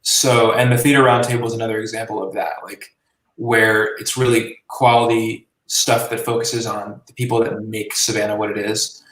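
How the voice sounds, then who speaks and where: A man's voice: lowish voice, little pitch movement, normal speed, fairly bright tone, distant mic. A man in his late twenties, a musician and journalist being interviewed, talking casually and rambling, with many fillers. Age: 20s. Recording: roomy and from the mic.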